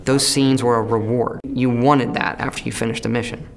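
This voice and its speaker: A man's voice: mid-range voice, steady tone, normal speed, gravelly, soft background music. A man chatting casually in an interview, in a conversational way.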